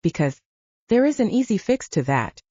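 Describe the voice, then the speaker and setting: A woman's voice: mid-range voice, sing-song, normal speed, mellow, slightly rough, dry recording, lo-fi sound. A woman voicing a smitten young man in a romance audiobook, teasing and affectionate.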